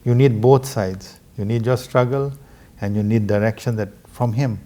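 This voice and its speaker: A middle-aged man from Singapore, a guest talking slowly and earnestly about struggle and faith. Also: medium pitch, dark tone. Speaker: interview guest, businessman. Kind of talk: reflective spiritual conversation.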